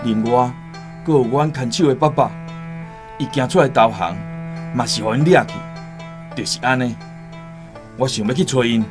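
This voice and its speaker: A male actor as an earnest islander in a radio drama, pleading with a Taiwanese accent to save his family. His mid-pitched voice sits over clear plucked-string music. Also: moderate pace, long pauses, lightly acted. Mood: troubled, determined. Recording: music behind the voice.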